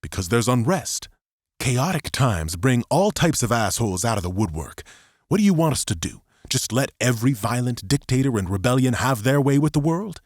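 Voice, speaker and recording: A man's voice: medium pitch, sing-song, normal speed, dry recording, studio quality, pitched above the performer's own voice. A man playing a determined, impatient resistance soldier, firm and argumentative, in an audiobook drama.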